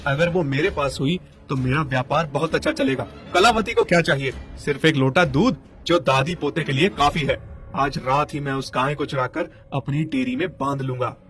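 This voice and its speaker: A man's voice: mid-range voice, normal speed, a bit bright, close to an everyday voice. A man playing a greedy, scheming dairy merchant in a children's cartoon story, scornful and blustering. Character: greedy, haughty, scheming village dairy merchant, short-tempered. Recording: music under the voice.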